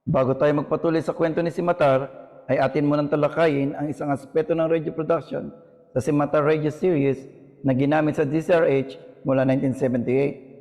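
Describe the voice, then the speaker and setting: A man's voice: on the high side, flat delivery, brisk, darkish tone. Formal Filipino man narrating. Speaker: video narrator. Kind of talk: scripted educational narration.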